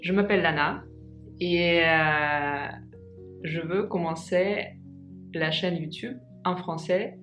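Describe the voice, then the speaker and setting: A woman's voice: lowish voice, fairly bright tone, soft background music. Hesitant young woman, Russian native speaker. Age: 20s. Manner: hesitant, many pauses and fillers. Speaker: vlogger. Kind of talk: casual personal vlog. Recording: echoey room.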